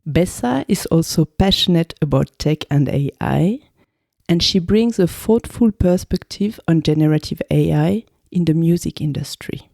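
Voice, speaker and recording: A woman hosting an interview podcast, speaking in a measured, articulate way as she gives scripted introductions and asks questions. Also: sits low, vocal fry, mellow, no echo, studio quality.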